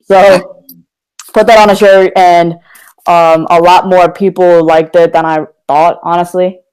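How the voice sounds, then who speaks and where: A man's voice: high-pitched, level tone, a little slow, darkish tone, clear, resonant tone, low-quality recording. A young man from Korea, a guest talking candidly and energetically in a casual podcast interview. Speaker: guest, motivational speaker.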